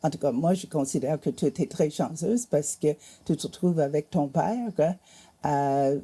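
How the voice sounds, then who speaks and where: A woman hosting a conversational interview, halting as she searches for words. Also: sits low, dark tone, breathy edge. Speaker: interview host.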